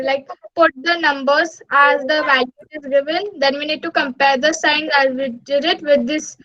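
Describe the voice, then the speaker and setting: A woman's voice: high-pitched, pushed and bright, dry recording. A teenage girl explaining a maths method step by step to her online class. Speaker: student. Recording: dull lo-fi online audio.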